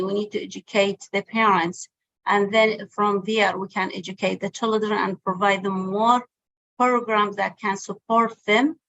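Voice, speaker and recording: A woman whose first language is Arabic speaks on a discussion panel. Her English is halting, with frequent pauses and fillers as she looks for words. She sounds like she is in her forties. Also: medium pitch, a bit bright, rough recording. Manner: halting non-native phrasing, many fillers. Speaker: panelist, family support worker.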